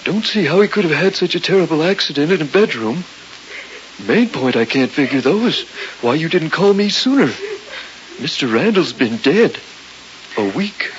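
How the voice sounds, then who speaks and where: A puzzled, polite coroner in a vintage radio play. The voice is fairly high, hesitant and folksy, on a muffled recording with clear noise. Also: male voice, very animated, moderate pace. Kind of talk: 1950s radio drama acting.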